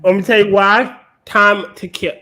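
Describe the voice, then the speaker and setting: High-voiced man making theatrical protests. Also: steady tone, unhurried, bright, forceful tone. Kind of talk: casual chat.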